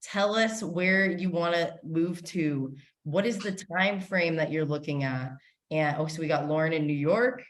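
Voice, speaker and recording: A woman's voice: low-pitched, unhurried. A woman hosting a live online summit in a chatty, gushing, informal way. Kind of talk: casual live interview hosting. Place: indoors, online video call.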